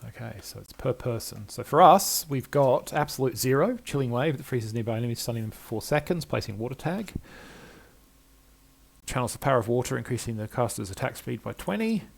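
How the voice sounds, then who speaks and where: A man's voice: mid-range voice, expressive, fairly quick, creaky. A middle-aged man playing a video game, thinking aloud and reading out menus as commentary. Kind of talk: let's play commentary. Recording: faint game ambience behind voice.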